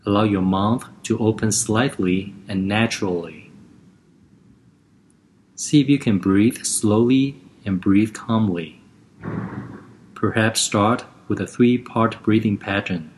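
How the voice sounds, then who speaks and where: A man leads a guided relaxation session in a calm, gentle voice. He talks very slowly and unhurriedly, leaving room between each instruction, with a fairly low voice. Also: slight background noise.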